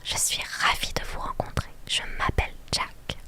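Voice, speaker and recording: A woman's voice: normal speed, natural voice. A woman voicing a kindly, courteous elderly male bookseller from a story game in a whisper. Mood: warm, welcoming.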